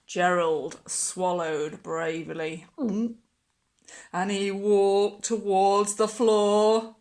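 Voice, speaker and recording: A woman reading a children's story aloud like a teacher, with expressive, dramatic storytelling. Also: medium pitch, very slow.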